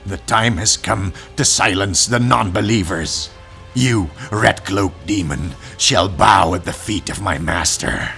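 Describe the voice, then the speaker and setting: Fanatical old male zealot, slow, gravelly, pompous, righteous, comic dub villain, music behind. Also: low voice, pushed and bright, heavily acted. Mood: menacing, proud. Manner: pompous, preaching, theatrical delivery. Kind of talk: dramatic comic dub acting.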